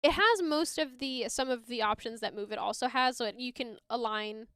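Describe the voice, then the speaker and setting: A woman's voice: a little high, normal speed, fairly bright tone, clear, resonant tone, dry recording, studio quality. A woman from Sweden, a native Swedish speaker, chatting casually and ramblingly as she hosts a livestream tutorial, often self-deprecating. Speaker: livestream host teaching game mods.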